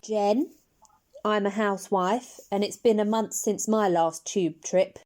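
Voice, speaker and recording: A woman's voice: medium pitch, steady tone, a little slow, a little mellow, natural voice. A woman playing a blunt, practical housewife in an amateur radio play. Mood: matter-of-fact.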